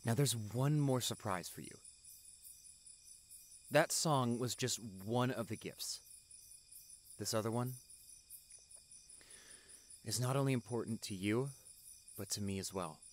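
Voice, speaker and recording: A man playing a tender, devoted young boyfriend in a romantic roleplay audio, speaking softly and earnestly. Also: mid-range voice, close to an everyday voice. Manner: soft, tender, earnest, unhurried.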